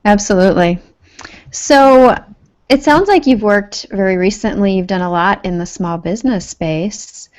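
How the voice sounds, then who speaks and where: A woman's voice: medium pitch, unhurried, darkish tone. A woman co-hosting a casual tech talk show, chatting loosely, laughing often and sometimes searching for her words. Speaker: podcast co-host. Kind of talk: casual chat.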